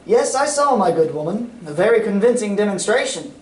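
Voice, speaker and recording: Actor as loyal gentleman companion, echoey stage. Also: high voice, sing-song, fairly quick. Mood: knowing. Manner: polite, questioning, formal. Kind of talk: old-time radio drama acting on stage. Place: community playhouse stage. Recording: echoey, distant stage recording, faint noise.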